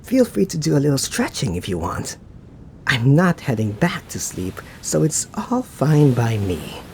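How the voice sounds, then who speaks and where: A man playing a suave, teasing, laid-back young knight in an ASMR roleplay, talking to the listener in an unhurried, musing way. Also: mid-range voice, huge pitch swings, vocal fry, darkish tone, a little hiss or hum, exaggerated voice. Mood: relaxed.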